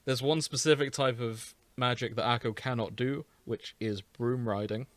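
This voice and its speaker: A man's voice: mid-range voice, steady tone, moderate pace, no echo. Male podcast host, mid-range voice, explaining plots conversationally in a dry-sounding space. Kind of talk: casual chat, explaining a show's plot.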